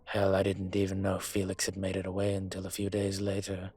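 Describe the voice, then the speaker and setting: A man playing a brooding, courteous and vengeful dragon mafia boss in an intimate audio roleplay, speaking in a measured, hesitant way with a husky voice. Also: low voice, monotone, darkish tone. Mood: somber, bitter. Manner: measured, hesitant, pausing often. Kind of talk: audio roleplay drama, intimate dinner conversation.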